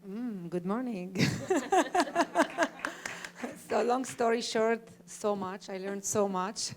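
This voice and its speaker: A woman's voice: medium pitch, steady tone, takes it slowly, crowd noise. A woman from Israel, a native Arabic speaker, giving an emphatic panel talk at a conference and repeating phrases for effect. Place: conference stage.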